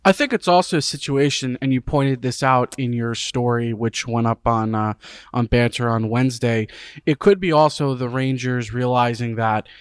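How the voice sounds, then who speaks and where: A man hosting a sports podcast, talking in a friendly, steady, conversational way. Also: medium pitch, moderate pace, smooth, dry recording. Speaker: podcast host. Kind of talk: casual sports podcast chat.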